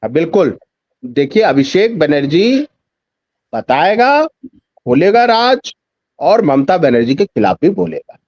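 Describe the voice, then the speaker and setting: A man with a high voice gives political commentary in a dry-sounding interview. He speaks emphatically, repeating phrases for weight. He often drives his points with rhetorical questions. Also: very animated, slow pace, long pauses, darkish tone, dry recording.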